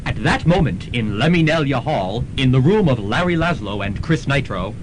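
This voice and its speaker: Guy announcing scenes, slow and sing-songy, hissy tape. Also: mid-range voice, expressive. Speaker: narrator. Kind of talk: scene-setting radio narration.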